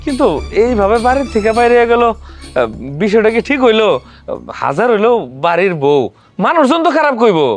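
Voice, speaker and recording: Man as a scolding young husband, high-pitched voice. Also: lively intonation, brisk, long pauses, faint music behind. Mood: stern. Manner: scolding, stern. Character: doubtful young village husband, quick to scold. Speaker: husband, son.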